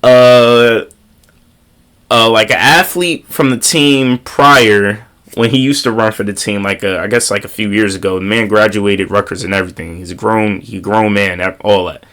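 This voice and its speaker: A man's voice: lowish voice, moderate pace, fairly bright tone. A young man with a congested voice from a slight cold speaks to his viewers outdoors. He gives earnest, slangy motivational advice and repeats his key lines for emphasis. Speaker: motivational vlogger. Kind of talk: casual motivational talk to viewers. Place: outdoors on a windy day.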